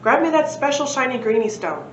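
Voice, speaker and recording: Storybook dinosaur professor played by a female reader: male, brisk, pompous, raised pitch, roomy recording. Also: big pitch swings. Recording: roomy, dull lo-fi, faint noise.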